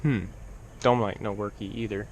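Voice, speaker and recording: A man's voice: on the low side, steady tone, moderate pace. A man casually narrating his own hands-on repair vlog in a plain-spoken, wry way. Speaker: DIY truck repair vlogger. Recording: background noise of vehicle and hum.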